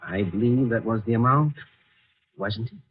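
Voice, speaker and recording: An actor playing a courteous, secretive businessman with a halting, gently insistent manner in an old-time American radio drama. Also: medium pitch, breathy edge, soft background music, close to an everyday voice. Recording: muffled, tinny old radio recording.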